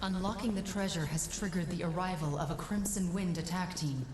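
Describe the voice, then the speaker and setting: Woman acting a low, echoing mystical guide. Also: brisk, noisy background, natural voice. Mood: calm guiding. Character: composed, knowing female mystical guide and advisor. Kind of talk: dramatic video game acting. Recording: roomy echo, music underneath. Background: music.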